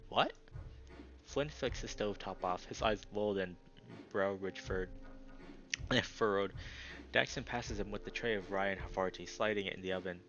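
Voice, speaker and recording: A man reading a visual novel aloud as a streamer, breaking off into casual, excitable reactions. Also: deep voice, moderate pace, dull audio. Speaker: let's play streamer, narrator. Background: background music.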